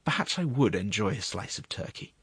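A man's voice: fairly low pitch, lively intonation, brisk, fairly bright tone, breathy edge, no echo, full character voice. A man voicing a sour, wistful and impatient assistant prosecutor in a story reading, with a sighing, moaning way of talking.

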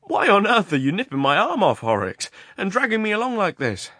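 A man's voice: mid-range voice, very animated, moderate pace, a bit bright, husky, no room sound, clean studio sound, voice pushed up, exaggerated voice. A man voicing an uneasy, reluctant man in a storybook, speaking with strained, nervous politeness. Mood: nervous, snarling. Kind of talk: dramatized storybook narration.

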